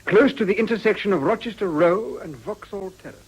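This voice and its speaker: Ambitious, insinuating gentleman for period radio drama; formal, tenor-leaning voice with animated inflection. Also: high-pitched, a little fast, husky, rough recording. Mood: businesslike. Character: smooth, insinuating, ambitious moral crusader gentleman.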